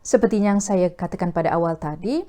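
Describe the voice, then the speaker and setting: Casting: Malaysian female host, soft mellow tone, formal and measured newsreader delivery to camera. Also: fairly low pitch. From Perak, Malaysia. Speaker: host of a talk video series. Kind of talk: formal scripted presentation to camera.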